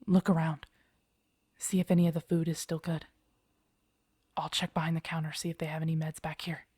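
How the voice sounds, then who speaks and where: Guarded, husky young survivor played by a woman. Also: low-pitched, level tone, no echo, clean studio sound. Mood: quiet, weary. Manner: hushed, urgent, halting delivery. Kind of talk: intimate audio roleplay acting.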